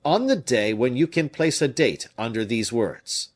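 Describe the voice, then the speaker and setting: A man's voice: medium pitch, expressive, measured pace. A man reading an audiobook, voicing a heartbroken young male nobleman who speaks solemnly and earnestly. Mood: grave.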